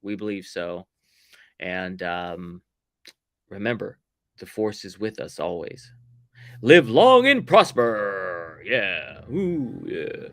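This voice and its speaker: A man hosting a casual sci-fi review podcast, talking loudly and upbeat, projecting his voice. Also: on the low side, sing-song, slow, no room sound. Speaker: podcast host.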